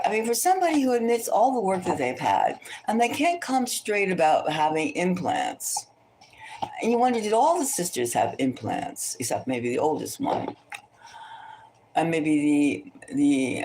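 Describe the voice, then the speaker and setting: A woman gives a casual tarot reading in a fairly low voice with lively, swinging intonation. She rambles and thinks aloud, repeating phrases in a gossipy, opinionated way. There is faint noise behind her. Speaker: tarot reader hosting a celebrity psychic reading.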